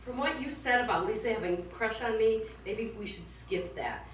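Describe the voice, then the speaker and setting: A restless, playful young wife acted by a woman in a stage comedy, with a fairly high voice. She sounds distant in an echoey room, with slight noise. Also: expressive, moderate pace, natural voice. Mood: restless complaint. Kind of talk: amateur stage comedy acting. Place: small theatre, distant microphone. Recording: echoey stage recording from far off, noisy.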